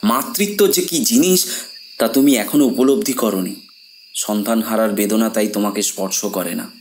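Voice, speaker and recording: An actor playing a composed, persuasive séance organiser who explains earnestly in an audio horror drama. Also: male voice, on the low side, moderate pace, a little hiss or hum, natural voice. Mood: reproving, persuasive.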